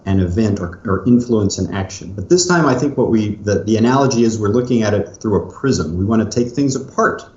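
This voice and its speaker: A middle-aged man co-hosting a podcast, speaking at an ordinary pitch. He explains things in a measured way, with plenty of fillers. His voice sounds roomy and a bit dull, like remote audio. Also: lively intonation, darkish tone. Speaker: podcast co-host. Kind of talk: casual conversational podcast.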